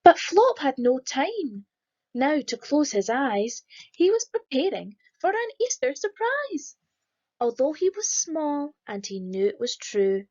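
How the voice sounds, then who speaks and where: A woman reading a rhyming children's picture book aloud in a lively, sing-song storytelling manner. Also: on the high side, highs cut off. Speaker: storybook reader.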